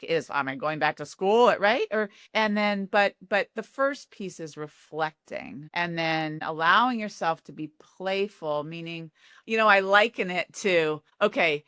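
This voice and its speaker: A woman psychologist talks as an interview guest with a lowish voice. She explains things in an animated way and keeps adding "right?". The recording is dry. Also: no room sound. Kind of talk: interview conversation.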